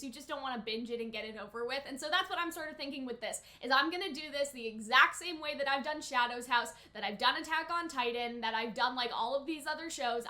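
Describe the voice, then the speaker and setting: Bright, high-pitched young woman chatting casually. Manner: informal, chatty, peppered with "like". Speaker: anime reaction and review host. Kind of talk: casual chat and reaction commentary.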